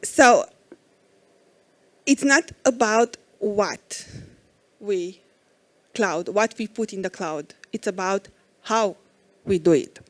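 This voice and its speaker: A woman's voice: mid-range voice, takes it slowly, long pauses. A woman in her forties from Romania giving a conference talk as an IT architect, hesitant with many fillers.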